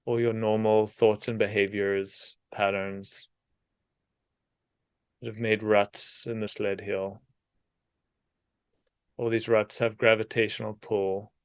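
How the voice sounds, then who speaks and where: A man leading a guided meditation in a soothing, unhurried manner, leaving long pauses between short phrases. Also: fairly low pitch, flat delivery, smooth, dry recording, studio quality.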